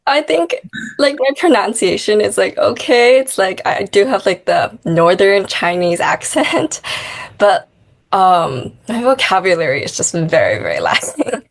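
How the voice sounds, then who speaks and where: A woman's voice: medium pitch, big pitch swings, a little slow. Young woman tutor, chatty filler-heavy talk. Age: young adult. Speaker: math tutor. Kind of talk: casual interview answers.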